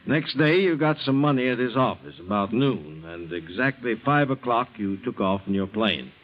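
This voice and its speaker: An insistent police interrogator played by a man in an old radio mystery. He is formal, probing and methodical, with a medium-pitched voice on a dull vintage recording. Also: animated, moderate pace, lightly acted. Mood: methodical, pressing. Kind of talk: 1940s radio acting.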